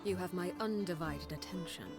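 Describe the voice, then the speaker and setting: A woman voicing a composed, formal woman in authority in a video game, attentive and measured. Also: lowish voice, natural voice. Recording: game audio with music.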